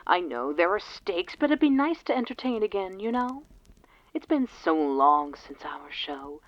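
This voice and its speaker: A woman's voice: mid-range voice, very animated, a little slow, no echo, voice pushed up. A woman voicing a theatrical, genteel poet who speaks in rhyme to an audience in a scripted audio drama. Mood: wistful, hopeful. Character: theatrical rhyming poet bidding listeners a fond farewell. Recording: muffled lo-fi tape sound.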